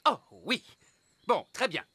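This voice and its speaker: A stern little elf dad from a children's cartoon. He is brisk, bossy and fussy about rules, with heavy noise behind him. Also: sits high, huge pitch swings, exaggerated voice. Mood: matter-of-fact. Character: fussy, workaholic elf father, stern rule-maker. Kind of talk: animated children's cartoon dub.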